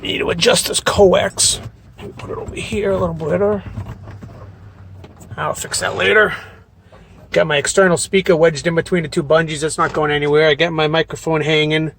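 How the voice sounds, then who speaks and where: A man's voice: a little high, steady tone, normal speed, vocal fry, a bit bright. A man, a trucker hosting a casual, plain-spoken step-by-step demonstration. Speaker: trucker and vlog host. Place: inside a truck cab. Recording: noisy truck cab with clicks.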